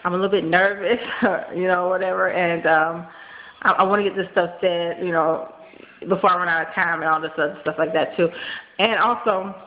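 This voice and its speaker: A woman in her thirties with a nasal voice, congested from a cold and tired, talking fast in a rambling casual vlog full of ums and likes, recorded close on a webcam in a small room at home. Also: fairly low pitch, steady tone. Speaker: vlogger. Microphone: built-in webcam mic, close. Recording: dull lo-fi webcam audio with faint hiss.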